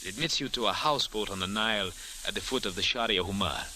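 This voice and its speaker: An actor playing a suave, menacing villain in old radio drama, speaking formally and deliberately with sly insinuation. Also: male voice, lowish voice, bright, forceful tone, low-quality recording. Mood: smooth menace. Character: suave, menacing male villain offering a sinister deal. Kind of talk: 1940s-50s radio drama acting. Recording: hissy old-time radio recording.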